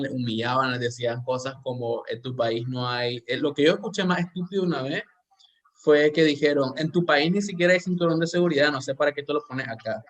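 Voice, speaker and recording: A man's voice: medium pitch, highs cut off. A man with a Central American Spanish accent, hosting a podcast and talking earnestly and reflectively, often restarting his sentences. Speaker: podcast host. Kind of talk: casual podcast conversation.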